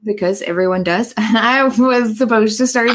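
A young woman from Germany, telling her story as an interview guest over a video call in a bubbly, quick way. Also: fairly high pitch. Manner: bubbly, quick, laughing, restarts often. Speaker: guest, transformation coach.

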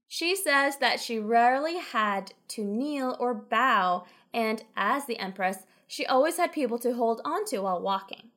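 Female podcast co-host, enthusiastic, chatty, higher register. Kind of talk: casual podcast chat about a drama.

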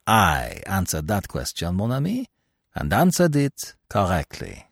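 A man's voice: low-pitched, huge pitch swings, measured pace, creaky, dry recording, studio quality, heavily acted. A man voicing a vain, fussy middle-aged male detective who lectures in a pompous, self-assured way, in an audiobook reading. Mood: lecturing.

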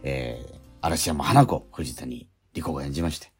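A man hosting a radio show, presenting the credits in a halting manner. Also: extremely low pitch, slightly rough, faint music behind. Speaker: radio host and scriptwriter.

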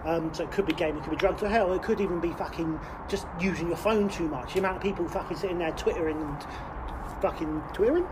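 A man's voice: a little high, fast talker, noisy background. A man, co-hosting a casual podcast chat. He rambles, swears a lot and laughs often. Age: late 20s. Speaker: podcast co-host.

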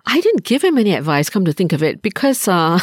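A woman in her sixties from Malaysia, co-hosting a podcast and sharing her experiences in a measured, reflective way with frequent pauses. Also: mid-range voice, big pitch swings, breathy edge, dry recording, studio quality. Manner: measured, thoughtful, pauses with fillers. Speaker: podcast co-host.

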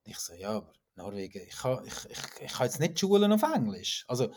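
A man with a Swiss German accent, co-hosting a podcast and telling stories in a lively way, with frequent stumbles. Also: medium pitch, brisk. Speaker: podcast co-host. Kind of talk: casual chat.

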